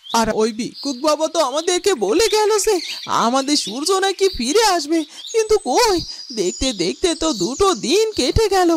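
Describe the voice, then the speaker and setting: Lonely fairytale mother, lamenting and pleading, very high animated voice, over noisy birdsong. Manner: plaintive, pleading, drawn-out lamenting. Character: grieving, lonely village mother pining for her lost son. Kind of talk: melodramatic Bengali fairytale cartoon acting.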